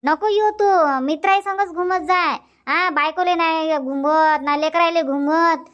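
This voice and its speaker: A woman's voice: extremely high pitch, measured pace, a bit bright, muffled recording. A woman voicing a chatty older village aunt in a Marathi cartoon comedy, scolding and motherly, with a Varhadi accent from Vidarbha. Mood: teasing, nagging. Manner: scolding, motherly, repeats herself.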